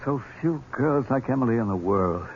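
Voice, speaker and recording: An actor plays a worldly, sardonic older gentleman in an old radio play. His mid-pitched voice moves expressively and deliberately, on a dull recording with faint hiss. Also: lively intonation, close to an everyday voice. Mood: cynical, scornful. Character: worldly, cynical older married gentleman, quietly accusing. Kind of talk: vintage radio drama acting.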